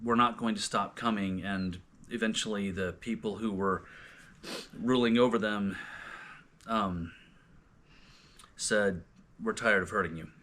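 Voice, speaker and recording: A man's voice: on the low side, steady tone, a little slow, pauses often, fairly bright tone. A man hosting a casual, unscripted discussion, often searching for words and restarting his sentences as he goes. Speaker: host of a scripture reading discussion.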